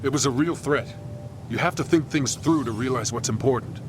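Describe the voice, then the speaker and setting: An actor playing a bluff, frustrated warrior hero in a video game cutscene, emphatic and earnest. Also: male voice, mid-range voice, brisk, background noise, lightly acted. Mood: exasperated.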